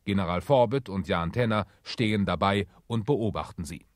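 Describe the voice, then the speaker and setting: Low-voiced male radio play narrator. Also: moderate pace, no room sound, studio quality.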